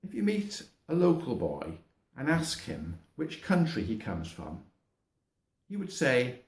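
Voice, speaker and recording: Slow, patient man teaching children. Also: medium pitch, huge pitch swings. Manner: patient, gentle, narrates while drawing on a map. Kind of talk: slow explanatory lesson for children.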